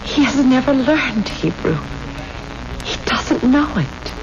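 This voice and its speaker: An actress playing a fearful, awed granddaughter in a 1970s radio drama, halting and frightened. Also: female voice, high-pitched, very animated, heavily acted. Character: fearful, awed granddaughter tending an old man. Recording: muffled, noisy old radio recording.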